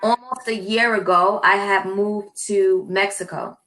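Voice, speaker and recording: A woman's voice: mid-range voice, fairly even intonation, bright, forceful tone, poor audio. A young woman from New York City, an English tutor chatting casually on a video call and often repeating her phrases. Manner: repeats phrases, friendly and measured.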